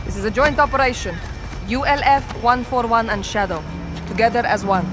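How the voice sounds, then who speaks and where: A man's voice: extremely high pitch, fairly quick, heavy background noise. A man acting an earnest, terse military operative in a video game cutscene. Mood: resolute.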